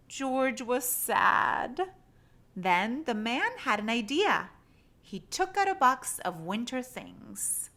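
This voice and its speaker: A woman reading a children's storybook aloud in an expressive, animated storytelling style. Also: high voice. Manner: animated, acts out animal sounds and exclamations.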